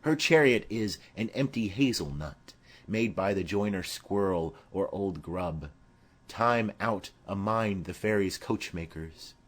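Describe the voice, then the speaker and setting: Boisterous, witty young gentleman for a Shakespeare audiobook, played by a man, theatrical, lively intonation, unhurried. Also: low voice. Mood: fanciful, theatrical. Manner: theatrical, declamatory. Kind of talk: dramatic Shakespeare audiobook reading.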